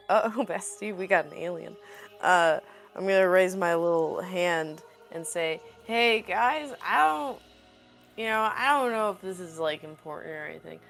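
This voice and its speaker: A woman's voice: fairly low pitch, a little slow, vocal fry, a bit bright. A woman playing a tabletop game, chatting in a casual, playful way. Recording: music under the voice.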